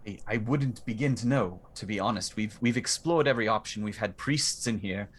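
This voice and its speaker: A man's voice: fairly low pitch, brisk, natural voice. A man playing an older homeowner who speaks formally and hesitantly, with many ums and restarts. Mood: uneasy. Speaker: game master.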